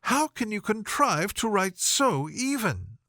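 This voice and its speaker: A gushing young lady of good family, acted out by a man for an audiobook. The voice is lifted high and very animated, fawning over every word and eager to charm. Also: slow pace, no room sound, clean studio sound, heavily acted. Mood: gushing, flattering. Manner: effusive, fawning. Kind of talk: audiobook character voice.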